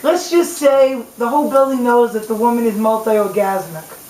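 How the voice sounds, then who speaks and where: Female talk show co-host, higher scratchy voice, casual and opinionated, roomy homemade recording. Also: moderate pace, dark tone. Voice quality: scratchy voice from singing. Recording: echoey room, faint background hiss.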